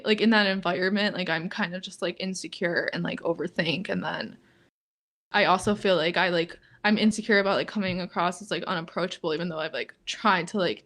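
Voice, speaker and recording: Monotone young woman, constant "like". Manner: says "like" constantly, flat and low-key, laughs often. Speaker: podcast co-host. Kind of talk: casual chat.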